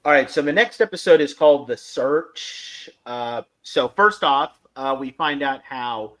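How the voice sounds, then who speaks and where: A man's voice: mid-range voice, lively intonation, measured pace, fairly bright tone. A man co-hosting a podcast, giving an enthusiastic, opinionated fan review in a casual discussion.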